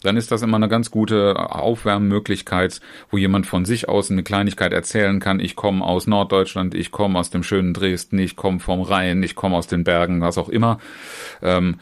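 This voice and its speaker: A man with a very low voice teaches other trainers in an informal talk, addressing the listener directly. His sentences run long and chained together. He often restarts a phrase or fills a pause with a short hesitation sound. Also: flat delivery. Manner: speaks in long chained clauses with frequent restarts and fillers. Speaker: trainer and trainer educator. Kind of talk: informal instructional talk on online seminars.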